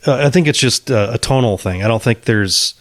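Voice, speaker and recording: A man of middling pitch talks on a podcast in a dry, close sound. He picks his way through sentences, restarting often and dropping the odd casual curse. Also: brisk, no room sound. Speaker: podcast co-host. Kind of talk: casual chat about music.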